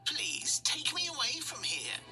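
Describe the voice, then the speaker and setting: A woman voicing a gentle, sweet-voiced magical harp who pleads to be rescued. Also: high voice, big pitch swings, measured pace, distant mic, music in the background, pitched above the performer's own voice. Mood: pleading. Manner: sweet, sing-song pleading.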